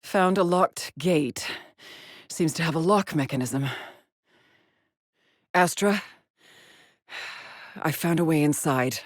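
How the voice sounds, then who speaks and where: An actress voicing a weary, driven middle-aged woman exploring space in a video game, with a husky, clipped and solemn delivery. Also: low-pitched, slow, pauses often, dry recording, clean studio sound. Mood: focused, measured. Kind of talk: dramatic game voice lines, terse log entries.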